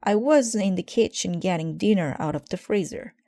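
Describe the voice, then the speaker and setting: A busy mother bear from a picture book, acted out by a woman reader. She sounds flustered and harried, her pitch lifted, with a mellow, dry tone. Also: normal speed, no room sound, close to an everyday voice.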